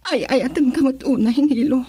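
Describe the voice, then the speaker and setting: A high, close and dry young female voice with a Filipino accent. She is the anguished sweetheart of a radio drama, emotional and pleading, torn between love and family. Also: moderate pace, mellow, breathy edge, no room sound. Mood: tender, worried. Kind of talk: Ilocano radio drama acting.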